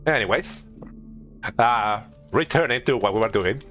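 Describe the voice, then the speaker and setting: A male streamer talks casually through a video game with a bright, forward voice at an average pitch. He thinks aloud in a halting way, often doubling back on his phrases, with faint music underneath. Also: animated. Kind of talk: casual gameplay commentary.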